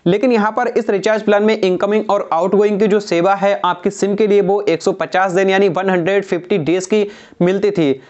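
A man's voice: sits high, a little fast, lo-fi sound. A young man talking to the camera about phone recharge plans, often calling his viewers "friends", with a clip-on mic in a small indoor room. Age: young adult. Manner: repetitive, keeps saying "friends", steady explanatory delivery. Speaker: tech channel host. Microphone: clip-on lapel mic.

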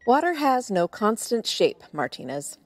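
A woman playing a cold, calculating military commander in an audio drama, stern, measured and condescending. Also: fairly high pitch, sing-song, no room sound.

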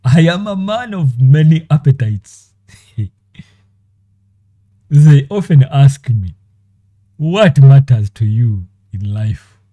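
A man performing the same dramatic monologue several times over, in a slow, theatrical delivery with long pauses. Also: mid-range voice, very animated, warm tone, dry recording. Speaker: animator and voice actor. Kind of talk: dramatic monologue in five voice takes.

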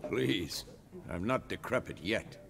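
An actor playing an old, world-weary monster hunter in a fantasy video game, gravelly, wry and measured. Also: male voice, on the low side, lively intonation, noisy background, exaggerated voice. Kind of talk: video game dialogue acting.

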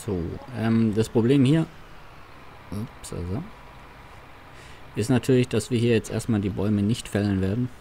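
A man's voice: on the low side, normal speed, pauses often, mellow, a little hiss or hum. A man roleplaying a farmer in a farming game, talking casually and doing business over the phone. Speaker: farm sim roleplay player. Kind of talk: roleplay gameplay commentary.

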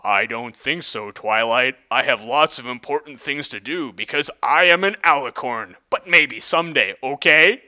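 A reader doing a deep, aloof male alicorn. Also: moderate pace, hardly any pauses, pushed and bright. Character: polite, aloof male alicorn, handsome and important.

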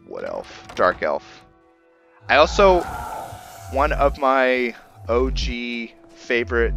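A man giving casual commentary on his roleplay playthrough, haltingly thinking aloud as he goes. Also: lowish voice, fairly bright tone. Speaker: gamer narrating a roleplay playthrough.

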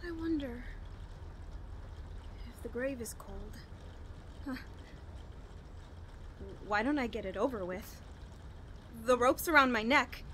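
A woman playing a despairing, bitter young murderess, halting and brooding, in an old-style radio horror drama. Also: sits high, pushed and bright, a little hiss or hum, exaggerated voice. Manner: halting, brooding, with anguished emphasis. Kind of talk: melodramatic 1940s-style radio horror acting.

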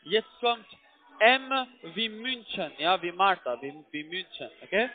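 High-pitched foreign-accented man on the phone. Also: big pitch swings, a little slow. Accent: foreign, non-native German. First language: not German. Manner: repetitive, keeps checking with tag questions. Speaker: call center agent posing as IT support. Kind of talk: step-by-step phone instructions. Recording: tinny narrowband phone line.

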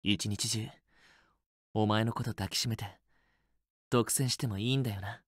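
Intimate, possessive young boyfriend. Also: male voice, lowish voice, steady tone, moderate pace, slightly rough, no room sound, studio quality. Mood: affectionate, coaxing. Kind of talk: Japanese otome game voice acting, intimate romantic dialogue.

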